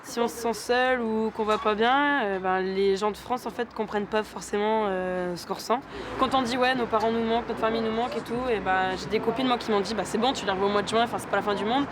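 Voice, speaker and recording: A woman's voice: fairly high pitch, fast talker. A young woman student from Saint-Pierre-et-Miquelon talking earnestly and colloquially in a casual interview. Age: young adult. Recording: outdoor interview with clear street noise.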